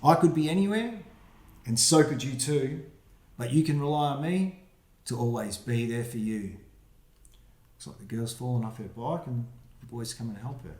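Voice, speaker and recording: A man, the book's author, reading his rhyming picture book aloud for children, with quiet asides about the pictures. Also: medium pitch, moderate pace, long pauses. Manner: friendly, reads rhyming verse with quiet asides. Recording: roomy echo.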